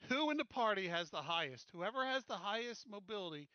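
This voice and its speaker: A man with a fairly high, forceful voice plans moves in a tabletop game. He talks casually, and the sound is dry and a little dull. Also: animated, a little fast, dry recording. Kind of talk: casual game-planning chat.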